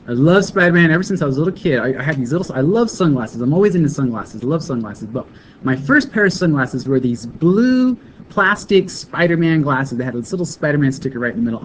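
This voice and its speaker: A man co-hosting a casual chat show, joking and excitable, who laughs often. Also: mid-range voice. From California. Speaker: co-host. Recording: dull lo-fi live broadcast with faint background noise.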